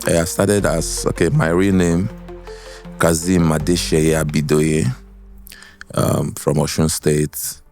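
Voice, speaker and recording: A Nigerian man with a bass voice, telling his story casually as a guest in a studio interview and slipping into Pidgin. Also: flat delivery, takes it slowly, darkish tone, soft background music. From Port Harcourt, Nigeria. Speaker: guest musician, singer. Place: podcast studio.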